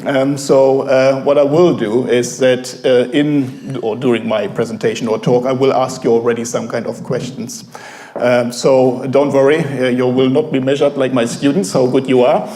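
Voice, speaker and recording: A German-accented professor giving a halting, informal talk to a café audience, full of fillers. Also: male voice, medium pitch, fairly even intonation, warm tone, roomy sound. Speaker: guest speaker, professor.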